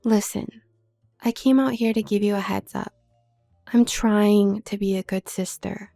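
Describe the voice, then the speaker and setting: A woman playing a haughty, manipulative younger werewolf sister from a noble family in an audio drama, speaking with condescending, needling smoothness. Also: mid-range voice, fairly even intonation, unhurried, dark tone, soft background music. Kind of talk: scripted audio roleplay drama.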